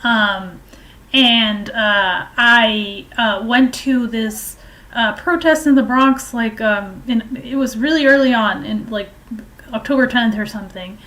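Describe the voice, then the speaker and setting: A woman giving informal political and historical commentary in a halting, hesitant way, explaining at length. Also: a little high, fairly bright tone, poor audio.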